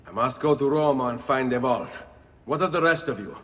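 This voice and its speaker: An actor playing a grim, determined Italian assassin bent on revenge, solemn and measured, in a video game. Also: male voice, medium pitch, fairly bright tone, close to an everyday voice. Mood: intrigued, urgent. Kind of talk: video game cutscene acting. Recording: long reverb, music behind.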